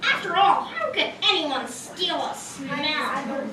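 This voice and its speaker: A woman's voice: high-pitched, sing-song, slow pace, a crowd in the background. A woman narrating a scripted children's tale at a puppet show, heard from a distance in an echoey, noisy room. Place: community puppet show in a roomy venue.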